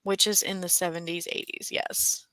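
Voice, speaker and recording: A woman's voice: on the low side, little pitch movement, unhurried, pushed and bright, no room sound. A woman co-hosting a book club livestream, chatting casually and reading out viewer comments, thinking aloud with fillers and restarts.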